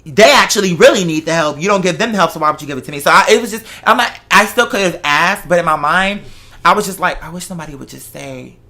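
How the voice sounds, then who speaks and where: A woman's voice: fairly low pitch, fast talker, sharp tone. An American woman chatting casually and telling stories in a sassy, emphatic, conversational way. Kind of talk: casual chat, animated storytelling.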